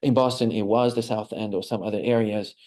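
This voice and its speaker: A man's voice: lowish voice, fairly even intonation, a little fast, a little mellow. A man in his forties with a Lebanese accent presents as an architect in an informal lecture, explaining things conversationally and checking in with listeners.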